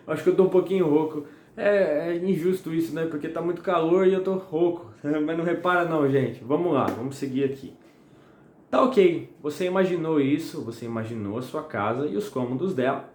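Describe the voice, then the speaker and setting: A young man from the São gives a casual sermon recorded at home at night. He is slightly hoarse and talks in a chatty, friendly way, often asking "tá?". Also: a little high, moderate pace, darkish tone. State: slightly hoarse and sweating in the heat. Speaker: preacher. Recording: echoey room, faint noise.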